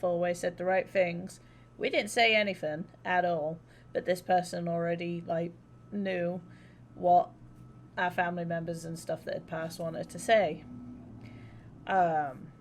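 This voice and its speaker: A woman's voice: mid-range voice, slight background noise. A woman livestreaming a game, chatting casually in an informal, rambling, sweary way. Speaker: live streamer.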